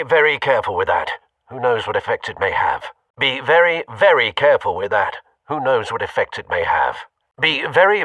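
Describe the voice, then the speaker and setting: An actor playing a loyal older butler with a British accent in a video game, formal and dryly understated. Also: male voice, medium pitch, sharp tone, no echo, distant mic. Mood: concerned. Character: refined, loyal, concerned older butler man.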